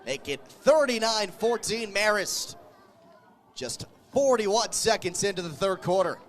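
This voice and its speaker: A man doing live play-by-play radio commentary of a football game, calling the action with excited energy. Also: high voice, unhurried. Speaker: play-by-play sports radio announcer.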